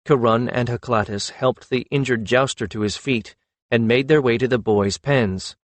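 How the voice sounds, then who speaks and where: A man's voice: mid-range voice, normal speed, dry recording. A man reading a scripted fantasy audiobook as narrator.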